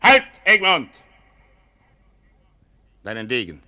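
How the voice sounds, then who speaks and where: An actor playing a stern, imperious royal commander, formal and declamatory, in a 1940s German radio drama. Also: male voice, fairly high pitch, huge pitch swings, slow pace, sharp tone, full character voice. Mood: commanding.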